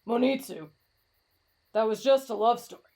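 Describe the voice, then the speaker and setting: A woman's voice: a little high, moderate pace, dark tone, natural voice. A woman voicing a brash, reckless teenage boy in an anime-style roleplay drama, cocky, blunt and dismissive. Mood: blunt, scornful. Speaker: voice actor in roleplay audio.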